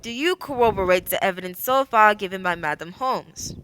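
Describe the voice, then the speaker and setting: A woman's voice: medium pitch, lively intonation, fairly quick, fairly bright tone, clear, resonant tone, close to an everyday voice. A woman playing a stern, authoritative prefect at a hearing in a radio play, formal and commanding. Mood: official.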